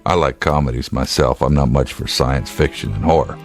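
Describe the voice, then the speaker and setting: An actor playing a steady, dryly wry state trooper in a naturalistic radio drama, speaking in a measured, laconic way. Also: male voice, a bass voice, faint music behind. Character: gruff, steady male state trooper, wryly humorous, protective.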